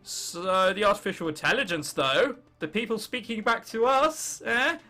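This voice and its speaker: Young english guy doing casual gaming commentary, highish bright voice, sarcastic and sweary, bit of music behind him. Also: moderate pace. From England. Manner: self-mocking, sarcastic, swears freely. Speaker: gaming video host.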